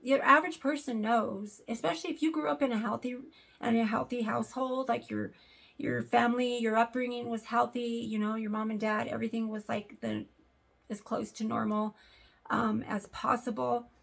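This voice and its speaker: A young adult woman with a sore throat and a raspy voice, talking earnestly to camera in a casual vlog with lots of fillers. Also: fairly high pitch, fairly even intonation, moderate pace. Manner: earnest, hesitant, many fillers. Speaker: vlogger.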